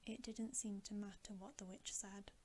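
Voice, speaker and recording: A woman whispering a bedtime story in a hushed, breathy, gentle and unhurried ASMR style. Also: mid-range voice, steady tone, slight background noise. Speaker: ASMR reader.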